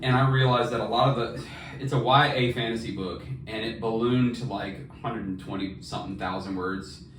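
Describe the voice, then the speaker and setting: A man's voice: on the low side, steady tone, normal speed, fairly bright tone. A man chatting informally and slangily about writing as a podcast co-host. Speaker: podcast co-host and fiction writer. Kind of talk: casual chat about writing. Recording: roomy, distant mic, faint noise.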